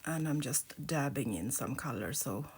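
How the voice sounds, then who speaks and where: A woman with a sore throat hosting a craft tutorial, talking softly with many pauses and fillers. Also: very deep voice, lively intonation, slight background noise. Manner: soft-spoken, hesitant with many uh's, drops to murmurs while painting. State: sore throat, nearly lost voice. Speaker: crafting channel host. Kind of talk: relaxed craft tutorial voice-over.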